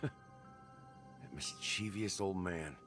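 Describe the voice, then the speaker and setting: A man's voice: deep voice, slow pace, fairly bright tone. An actor playing a wry, cynical middle-aged man in a video game, sardonic and offhand. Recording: game audio with music underneath.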